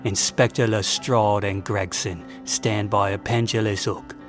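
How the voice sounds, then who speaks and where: A man's voice: lowish voice, fairly even intonation, measured pace. A man narrating an audio drama in a scripted, dramatic storytelling style. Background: music.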